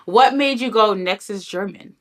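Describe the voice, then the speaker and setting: A woman's voice: medium pitch, normal speed, a bit bright. A woman hosting a podcast interview, enthusiastic and affirming, with reflective comments. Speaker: podcast host.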